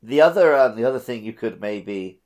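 A man's voice: medium pitch, lively intonation, normal speed, a little mellow. A man co-hosting a casual video game podcast, sometimes repeating words as he thinks aloud. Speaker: podcast co-host.

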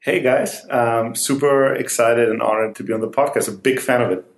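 A man's voice: medium pitch, normal speed, echoey room. A man from Greece who grew up in Germany, a guest on a podcast, speaking with energy and enthusiasm and poking fun at himself. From Greece, raised in Germany. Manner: energetic, enthusiastic, self-deprecating. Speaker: guest, entrepreneur. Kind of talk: casual interview.

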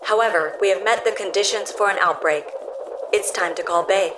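A woman's voice: medium pitch, fairly quick, noisy background, natural voice. A woman playing an earnest, curious health robot in an audio drama, speaking in precise, formal sentences. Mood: urgent. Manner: precise, polite, formal wording.

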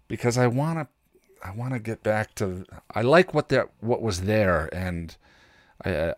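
Male podcast host, low voice, dry close-mic sound, informal and halting, working through thoughts aloud. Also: no echo. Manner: rambling, halting, thinking aloud. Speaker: podcast host and content creator. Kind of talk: casual solo podcast chat.

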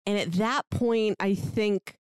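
A woman's voice: mid-range voice, takes it slowly, clear, resonant tone, no echo, clean studio sound. Giggly woman in casual podcast chat. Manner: rambling, giggly, full of "like". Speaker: podcast co-host. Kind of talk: casual chat about books.

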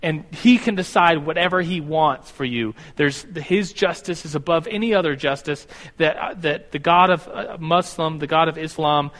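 A man's voice: a little high, normal speed, few pauses, fairly bright tone. Rambling man teaching in a hall. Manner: rambling, self-correcting, stumbles over words. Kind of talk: classroom Bible teaching. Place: classroom with a board. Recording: long hall reverb.

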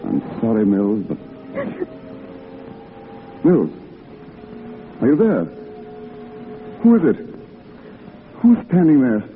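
An actor playing a gentle, withdrawn war veteran hiding a secret, in restrained, halting 1940s radio drama style. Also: male voice, mid-range voice, wildly swooping pitch, phone-quality sound, heavily acted. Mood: uneasy. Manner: restrained, halting, politely evasive. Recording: old band-limited radio recording with background music.

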